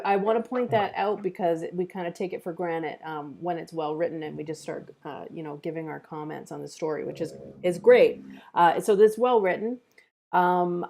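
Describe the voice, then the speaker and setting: A woman's voice: lowish voice, mellow. A woman hosting an online script table read, chatting casually in an appreciative, encouraging way. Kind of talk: casual feedback chat and stream sign-off.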